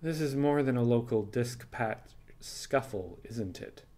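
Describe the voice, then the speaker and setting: A man playing a kindly starship captain in audio fiction, measured and thoughtful with gentle irony. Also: mid-range voice, animated. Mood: gently concerned. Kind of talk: audio fiction character dialogue.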